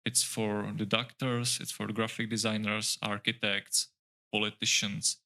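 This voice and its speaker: A middle-aged man speaks English in a low, level voice with a bright, forward edge. He talks in a considered, halting way, stopping often to search for the next word. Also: level tone, gravelly. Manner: thoughtful, halting, with many "uh" pauses and restarts. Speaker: architect guest. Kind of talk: casual interview chat.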